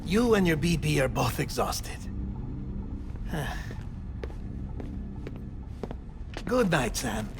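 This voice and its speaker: An actor playing an excitable, fussy middle-aged scientist in a cinematic video game, explaining things in a clinical yet chummy manner. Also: male voice, fairly high pitch, takes it slowly, gravelly, loud music behind the voice, exaggerated voice. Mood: calm, reassuring. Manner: explanatory, animated, matter-of-fact.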